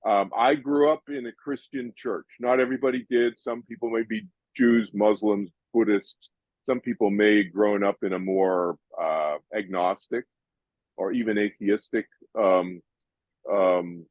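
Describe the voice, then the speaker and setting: An older man from Massachusetts hosting an audience Q&A over a video call, chatty and animated, often restarting his sentences. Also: fairly low pitch, no echo, lo-fi sound. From Massachusetts, USA. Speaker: host answering audience questions.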